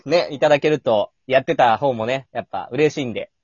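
A man's voice: medium pitch, brisk, a little mellow, husky, no room sound, highs cut off. A man chatting casually after a show as an amateur scriptwriter and performer, chatty and often laughing as he talks.

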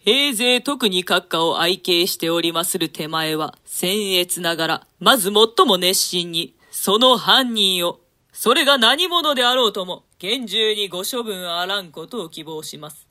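A woman's voice: mid-range voice, lively intonation, unhurried, pushed and bright. A woman playing a doomed, remorseful nobleman in a declamatory, archaic dramatic reading of Shakespeare. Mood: formal, earnest. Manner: archaic, declamatory, swelling with emotion. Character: doomed, remorseful nobleman lamenting his own folly.

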